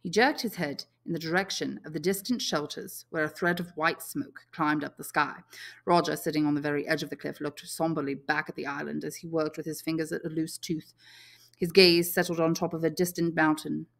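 A woman's voice: low-pitched, steady tone, fairly quick, creaky. A woman reading a novel aloud as scripted audiobook narration. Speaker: English teacher, audiobook reader.

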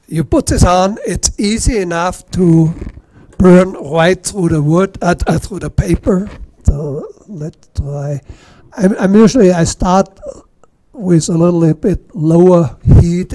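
A middle-aged man with an Austrian accent giving a casual, halting workshop demonstration, with self-deprecating asides. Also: sits high, mellow, no echo. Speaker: woodturning demonstrator. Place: club meeting room.

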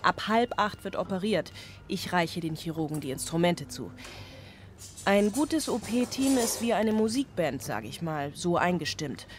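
A woman's voice: lowish voice, sing-song, a little slow. An actress playing a stressed, driven single mother in a radio drama, speaking in clipped, drilled phrases. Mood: matter-of-fact, tense. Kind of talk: radio drama monologue and dialogue.

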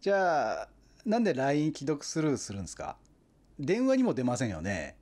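An actor playing a pushy, fanatical hometown booster who lectures insistently and grandiosely in a comic radio drama. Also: male voice, medium pitch, wildly swooping pitch, fairly quick, darkish tone. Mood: pushy, prying. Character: pushy, fanatical Nagoya booster, indignant salesman-like man.

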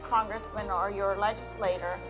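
A woman's voice: medium pitch, fairly even intonation, moderate pace, over music. A woman who runs a hotel business, talking in a documentary interview.

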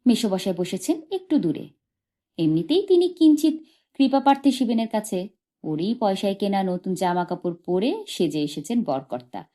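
A woman's voice: medium pitch, dark tone. A woman narrating a short story as an audiobook reader, expressively and from a script.

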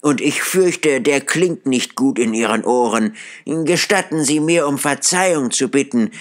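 A man voicing a joyful, reformed old miser in a high, giddy voice. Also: expressive, measured pace, natural voice. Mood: overjoyed.

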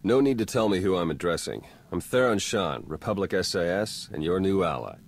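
A man's voice: low-pitched, animated, a little fast. An actor playing a wry, confident young male secret agent in a video game, talking casually with dry wit. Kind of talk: scripted video game cutscene acting.

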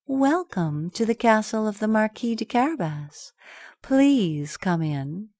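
A woman's voice: medium pitch, expressive, takes it slowly, a little mellow, no room sound, studio quality, voice pushed up. A woman voicing a clever scheming male cat who speaks with courtly politeness, in a storybook reading.

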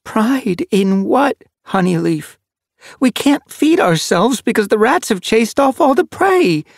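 A man voicing a sad, gentle female warrior cat in a storybook reading, speaking in a sorrowful, pleading way. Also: high voice, big pitch swings, takes it slowly, vocal fry, no room sound, studio quality, pitched above the performer's own voice, heavily acted. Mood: bitter.

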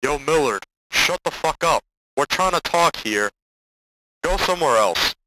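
A man's voice: on the high side, animated, a little slow, a little hiss or hum, poor audio. A man playing a level-headed young cartoon hedgehog who hosts an amateur audio drama, casual and slangy. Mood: annoyed. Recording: loud music behind the voice.

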